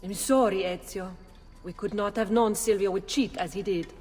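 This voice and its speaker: A woman's voice: medium pitch, steady tone, moderate pace, a little mellow, breathy edge, in a large hall, lightly acted. An actress playing a composed, shrewd female ally in a game cutscene. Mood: apologetic. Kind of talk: video game cutscene acting.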